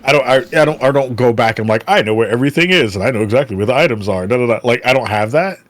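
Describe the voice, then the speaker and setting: A man in his forties hosting a casual podcast chat, easygoing and quick to laugh. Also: medium pitch, animated, no echo, clean studio sound. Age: 40s. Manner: laid-back, quick to laugh. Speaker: podcast host.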